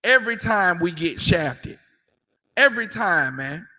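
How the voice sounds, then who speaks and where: A man's voice: high-pitched, animated, measured pace, sharp tone, big-room sound. A man giving a confrontational sermon as a street preacher, emphatic and repetitive, with mocking asides.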